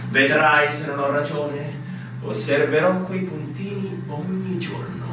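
An actor playing an eager, stubborn soldier with an emphatic, theatrical delivery. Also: male voice, a little high, level tone, a little slow, natural voice. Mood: insistent. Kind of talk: Italian stage drama acting. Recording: very echoey distant stage recording, noisy.

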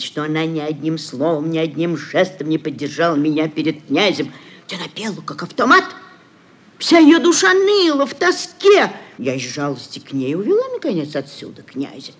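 A woman's voice: sits low, slightly rough, full character voice. An actress playing a scheming, sugary middle-aged society mother in a classic radio play, cajoling and declaiming theatrically. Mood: dramatic self-justifying. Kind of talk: classic Russian literary radio play acting.

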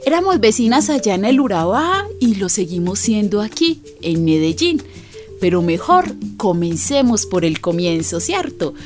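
An actress playing a warm, gossipy Colombian neighbour telling a friend's story in a folksy, confiding way for a radio drama. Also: female voice, medium pitch, sing-song, normal speed, soft background music, lightly acted. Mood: fond, confiding.

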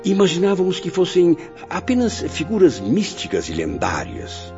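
A reflective spirit narrator played by a man in a radio drama, recalling the past in earnest, literary phrases. The low voice rises and falls expressively over clear background music, in a slightly dull recording. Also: huge pitch swings, normal speed, heavily acted. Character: reminiscing male spirit narrator, former suicide, reflective. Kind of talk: dramatised first-person narration.

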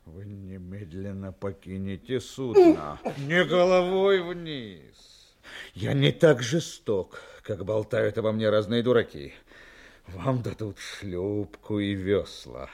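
An actor playing a cold, mocking ship's master in an audio drama, deliberate, sardonic and threatening. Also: male voice, on the low side, big pitch swings, a little mellow, heavily acted. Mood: cold command.

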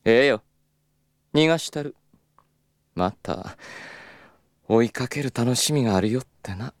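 A man playing a suave, stubborn, flirtatious club boss in an audio drama, with smooth polite speech that slips into teasing Kyoto dialect. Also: mid-range voice, lively intonation, a little slow. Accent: Kyoto Japanese.

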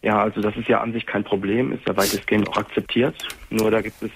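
A man's voice: fairly low pitch, little pitch movement, quick pace, slight background noise. A man in his twenties calling a radio show, speaking carefully and a bit nervously. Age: 20s. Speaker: caller. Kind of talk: phone-in conversation.

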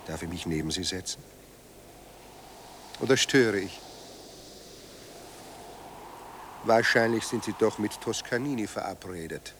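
An actor playing a sardonic, bitter dead writer, newly sober, speaking with ironic, theatrical eloquence in a radio drama. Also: male voice, lowish voice, normal speed, background noise, lightly acted. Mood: ironic, wry.

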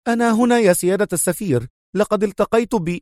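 A man doing a sharp, defiant woman agent. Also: high-pitched, fast talker, dry recording, clean studio sound, voice pushed up, lightly acted. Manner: curt, sharp, mocking. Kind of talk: audiobook character voice.

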